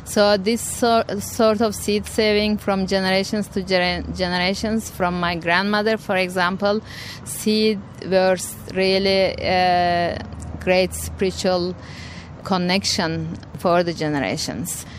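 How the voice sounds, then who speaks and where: A woman's voice: mid-range voice, fairly even intonation, slow, a little hiss or hum. A woman from Turkey, answering interview questions hesitantly and restarting as she searches for words. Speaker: interview guest, researcher. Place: conference venue.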